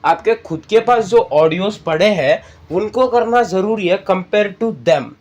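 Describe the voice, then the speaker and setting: A man's voice: high voice, unhurried, a little mellow. A man with an Indian accent hosting a casual step-by-step app tutorial, conversational and often checking in with listeners. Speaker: tutorial host.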